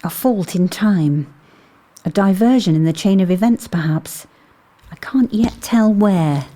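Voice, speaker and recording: A woman playing a calm, perceptive, mysterious investigator in a scripted audio drama, speaking in a measured, thoughtful way. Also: mid-range voice, close to an everyday voice. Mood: thoughtful, curious.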